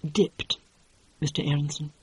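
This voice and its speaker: A man's voice: fairly high pitch, completely flat delivery, measured pace, slightly rough, no echo. A man doing a prim, courteous assistant. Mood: polite, dry. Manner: formal, courteous, quietly precise. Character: polite, prim, dry-witted male assistant. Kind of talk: audiobook character voice.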